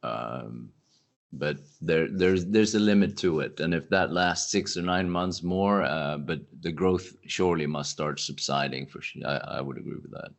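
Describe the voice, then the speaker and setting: Brief: male podcast guest, low deep voice, loose animated delivery, lots of self-corrections. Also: moderate pace, vocal fry. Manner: animated, rambling, frequent restarts. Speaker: industry analyst guest. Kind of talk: casual podcast conversation.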